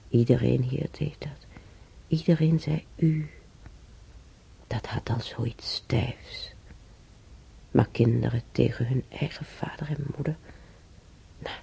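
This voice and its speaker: An actress playing an ailing older woman in a radio drama, reminiscing wistfully about wartime, breathy and halting, often sinking to a whisper. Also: extremely low pitch, dark tone, full character voice. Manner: halting, murmuring, repeating herself.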